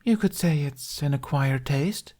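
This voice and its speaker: Man putting on a high, sly mermaid woman. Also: measured pace, warm tone. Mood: sly, teasing. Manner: purring, teasing, coy.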